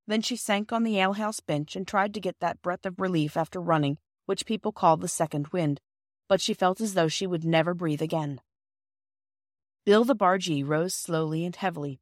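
A woman's voice: lowish voice, normal speed, no room sound, clean studio sound. A woman narrating a children's storybook from a script. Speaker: audiobook narrator.

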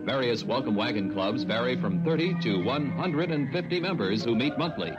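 Male announcer, 1960s local radio spot, mid-pitched scripted read, muffled, strong music bed. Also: expressive, normal speed. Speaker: commercial announcer. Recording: dull old radio broadcast, loud music.

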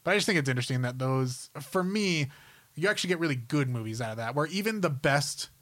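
A man's voice: medium pitch, lively intonation, quick pace, fairly bright tone, no echo. A man co-hosting a podcast in casual, enthusiastic, rambling conversation, peppering his talk with "like.". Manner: enthusiastic, rambling, heavy use of "like". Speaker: podcast co-host. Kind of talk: casual chat about movies and games.

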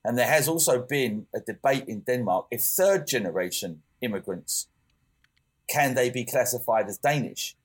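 A man's voice: mid-range voice, animated, moderate pace, slightly rough. A young man co-hosting a podcast, talking casually with lots of fillers and restarts. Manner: rambling, many fillers and restarts. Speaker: podcast co-host. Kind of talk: casual chat.

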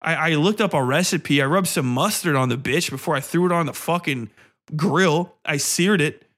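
Male podcast host, slightly high voice, casual ranting delivery, profane and exasperated. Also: moderate pace, fairly bright tone. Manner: profane, exasperated, blunt complaining.

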